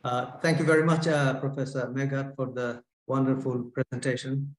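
A man's voice: medium pitch, level tone, moderate pace. A man chairing an online academic panel over a video call, hesitant with many filler sounds. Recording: echoey room on a video call.

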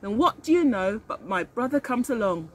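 A middle-aged woman, a teacher reading a picture book aloud to young children in an animated way and explaining as she goes. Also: a little high, normal speed. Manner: animated, questioning, addresses children directly. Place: outdoors in a leafy garden. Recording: outdoor recording with birdsong and ambient noise. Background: birdsong.

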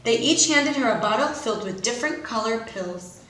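Female preschool teacher, expressive and deliberate story reader, fairly high voice, recorded in a very reverberant room. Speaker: pre-K teacher. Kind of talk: storybook read-aloud for young children. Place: indoor classroom. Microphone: camera a few feet away. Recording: very echoey room.

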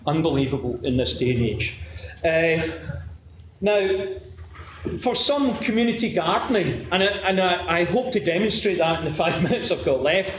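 A middle-aged Scottish man with a Glasgow accent gives a conference talk, pausing on fillers and restarting phrases. His voice sits slightly high. The room is echoey with a faint hiss underneath. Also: animated. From Scotland. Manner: measured, frequent uh fillers and restarts. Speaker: conference presenter. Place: lecture room at a podium, standing.

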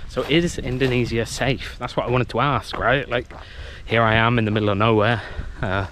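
A man at a middling pitch gives a nervously excited walking commentary as he explores an abandoned fort. His talk is chatty and full of exclamations. It is recorded outdoors on a handheld camera mic, with clear background noise and handling clicks. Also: normal speed. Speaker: travel vlogger. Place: outdoors at an abandoned coastal military base in the heat.

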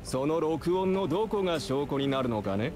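A man's voice: on the high side, animated, moderate pace, darkish tone, soft background music, lightly acted. A man voicing a haughty, dismissive middle-aged art dealer villain in an anime game, with a condescending, scoffing delivery.

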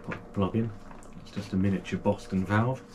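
A man's voice: fairly low pitch, steady tone, brisk, slight background noise. A man, casually unboxing and reviewing gear for his channel in a small home room, chatty and full of fillers and restarts. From United Kingdom. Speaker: kayaking channel host.

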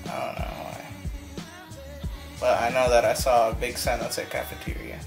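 A young man rambles casually to a nearby camera in a sleepy, dozy state. His pitch sits in the middle of the male range. Music plays clearly behind him. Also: big pitch swings, normal speed, rough recording. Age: young adult. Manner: talkative, rambling. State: drowsy after dental surgery. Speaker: vlogger. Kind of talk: casual vlog chat. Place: car, small house rooms and bedroom.

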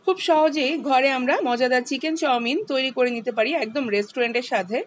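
A woman, calmly walking through a home cooking recipe step by step as the channel's host. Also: high voice, fast. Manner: calm, instructive, explains each step.

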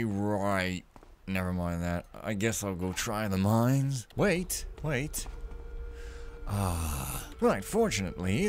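A man's voice: lowish voice, slight background noise. A man giving casual, rambling commentary while playing a stealth game, full of sarcastic jokes and asides. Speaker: let's play commentator.

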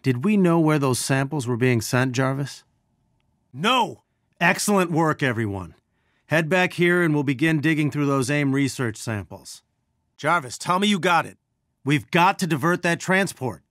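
Earnest, serious scientist leader. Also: male voice, on the high side, expressive, normal speed, a bit bright, no room sound, studio quality. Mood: urgent. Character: weary, earnest male scientist resistance leader. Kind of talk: video game voice acting.

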